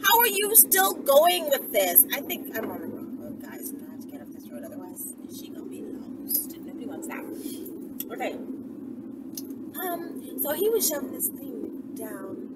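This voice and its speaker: A woman chatting casually in a rambling storytime to her followers, animated, slangy and profane, often tagging her sentences with little check-in questions. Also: sits high, normal speed. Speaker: vlogger telling stories to followers. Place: inside a car while driving. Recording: noisy moving car, distant mic.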